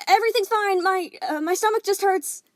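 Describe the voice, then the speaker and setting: Woman voicing a scared young male hero; high, strained, stammering, wheezy. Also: big pitch swings, normal speed, no room sound, clean studio sound. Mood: panicked.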